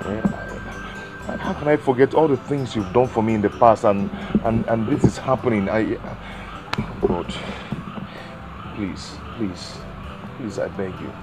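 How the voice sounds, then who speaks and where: A man's voice: lowish voice, expressive, normal speed, music in the background, close to an everyday voice, low-quality recording. An actor with a Nigerian accent playing a remorseful man who stammers as he begs for forgiveness. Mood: pleading. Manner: pleading, stammering. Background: loud film score.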